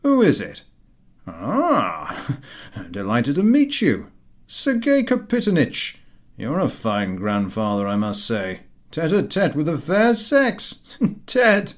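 A man voicing Vankin, a cheeky usher who loudly teases and mocks with laughter. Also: on the low side, big pitch swings, a little slow. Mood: teasing. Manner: boisterous, mocking, laughing. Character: cheeky male usher, loud teasing gossip.

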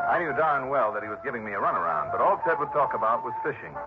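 A man's voice: medium pitch, big pitch swings, quick pace, close to an everyday voice. An American man playing a breezy, wry insurance investigator who narrates his case in a 1960s detective radio drama. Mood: wry narration. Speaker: lead actor and narrator. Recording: muffled old radio broadcast, music.

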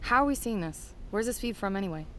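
A woman acting in an audio drama as a plucky, curious investigator with a brisk, matter-of-fact manner. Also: medium pitch, lively intonation, close to an everyday voice. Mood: alert. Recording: loud ambience and noise behind.

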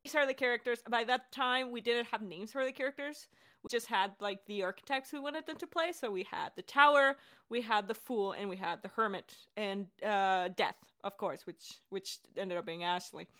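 A woman's voice: mid-range voice, normal speed, pushed and bright. A young adult woman chatting casually as a podcast director and co-host in a creator Q&A.

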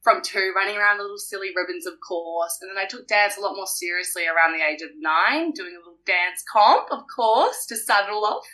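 Bubbly bright-voiced young woman. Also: medium pitch, lively intonation, fairly quick, hardly any pauses, poor audio. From New Zealand. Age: young adult. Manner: bubbly, giggly, gushing. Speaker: guest. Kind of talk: casual chat.

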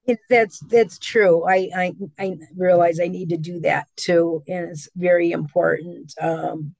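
A woman talking in a casual conversation, halting and restarting as she speaks. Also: fairly low pitch, steady tone, vocal fry, a little mellow, dry recording, low-quality recording.

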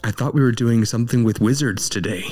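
A man's voice: mid-range voice, fast talker, darkish tone, soft background music. A man co-hosting a podcast in casual chat, making playful, teasing quips. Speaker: podcast co-host.

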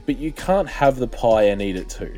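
A man's voice: medium pitch, big pitch swings, dark tone, faint music behind. A young man co-hosting a casual podcast chat, swearing often and getting emphatic as he rants. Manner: sweary, emphatic, quick to rant. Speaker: podcast co-host.

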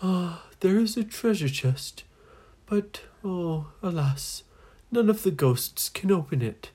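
A woman's voice: fairly low pitch, animated, takes it slowly, warm tone, breathy edge, pitched above the performer's own voice, heavily acted. A woman voicing a lonely, mournful old ghost in a children's story, with sighs and exclamations. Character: mournful, lonely old island ghost, long without hands.

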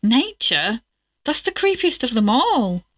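A woman's voice: on the high side, sing-song, slow pace, a bit bright, no echo, clean studio sound, full character voice. A woman acting as a wry, impatient young investigator in an audio drama, blunt and teasing with her friends.

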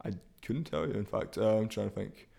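Lower-pitched man, laid-back podcast guest. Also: brisk, vocal fry, mellow, breathy edge. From Transnistria. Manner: laid-back, slangy, jokey. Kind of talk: casual chat, personal storytelling.

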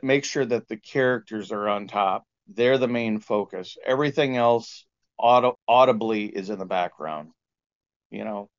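A man speaking as a composer and sound engineer, explaining his approach in a halting, informal interview manner. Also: medium pitch, smooth. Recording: dull lo-fi audio.

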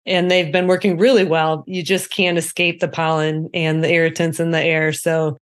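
A woman's voice: deep voice, level tone, normal speed. A woman co-hosting a podcast in a casual, conversational way. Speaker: podcast co-host. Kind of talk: casual chat.

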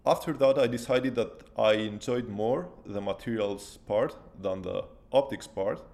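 A man in his thirties speaks with a Greek accent and a low, gravelly voice full of fry. He answers as an interview guest, laying out his thoughts step by step with plenty of "so" and "like". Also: measured pace, a little mellow. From Greece. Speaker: guest, postdoctoral researcher.

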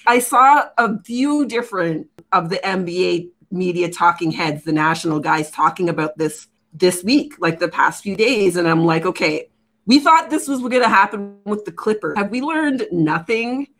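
Opinionated woman, lowish voice. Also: expressive, moderate pace, fairly bright tone. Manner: opinionated, rhetorical questions. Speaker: podcast co-host. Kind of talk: casual sports podcast chat.

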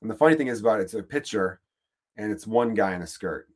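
A man with a Chicago accent rants through a comic podcast monologue, swearing freely and circling back on his own sentences. His voice sits a bit low, and he sounds fed up, loose and chatty. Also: lively intonation, quick pace. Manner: profane, exasperated, rambling. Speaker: podcast host. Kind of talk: comedic monologue rant.

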